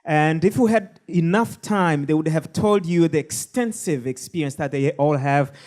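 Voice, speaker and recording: A man's voice: a little high, moderate pace, a little mellow. A man moderating a panel discussion, hesitant and full of fillers.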